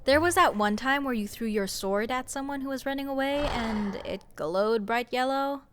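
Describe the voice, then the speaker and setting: A woman's voice: high voice, normal speed, slight background noise, lightly acted. A woman playing a snarky, exasperated sorceress in a fantasy audio roleplay, talking to the listener in a sarcastic, emphatic, conversational way. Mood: scoffing, nitpicking. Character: snarky young sorceress, exasperated, scoffing, nitpicking adventurer. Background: fantasy dungeon ambience.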